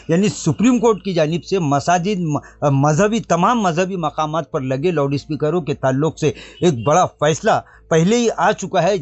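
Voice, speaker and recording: A man with a slightly high voice presents the news in a formal, scripted register. He speaks straight to the viewers as a presenter would. The sound is dry and somewhat dull and lo-fi. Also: darkish tone, no echo. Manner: formal, addresses viewers directly.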